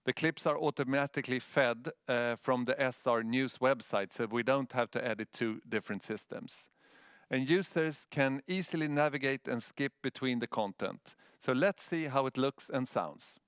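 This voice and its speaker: A man with a Swedish accent gives a prepared presentation in a clean, smooth voice of middling pitch. The sound is close and dry, with no room on it. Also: normal speed, fairly bright tone, no echo. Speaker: news editor presenting a project.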